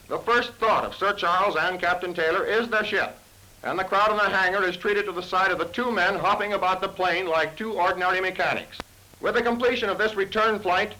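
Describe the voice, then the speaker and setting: A man's voice: sits high, pushed and bright, rough recording. A man narrating a vintage 1930s newsreel from a script in a grand, declamatory way, on an old film soundtrack.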